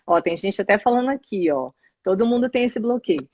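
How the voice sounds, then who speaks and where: Husky-voiced woman host, dull dry sound. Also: medium pitch, lively intonation, a little mellow. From Brazil. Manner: storytelling, halting with restarts. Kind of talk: casual live chat.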